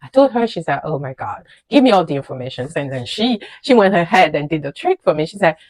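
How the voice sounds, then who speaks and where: A woman whose first language is French speaks in a fairly low voice. She tells her own story in a casual vlog, animated and emphatic, full of fillers and restarts. The sound is dry and close, with no room around it. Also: fast, no echo. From French-speaking country, immigrated to the USA. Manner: animated, emphatic, with frequent fillers and repeated words. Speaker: registered nurse, vlogger. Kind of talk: casual personal storytelling to camera.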